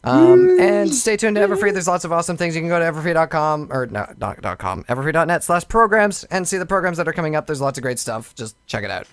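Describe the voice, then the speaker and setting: Excitable man hosting a live show. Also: a little high, fast talker, hardly any pauses. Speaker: podcast host. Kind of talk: casual live show chat.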